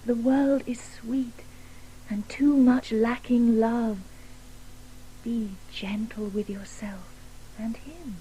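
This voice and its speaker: Slow, breathy, ghostly woman. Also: on the high side, steady tone, slight background noise, rough recording. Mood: tender. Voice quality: breathy, often whispering. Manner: hushed, deliberate, coaxing. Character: gentle, ghostly woman, soothing imagined guide. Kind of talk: radio play acting.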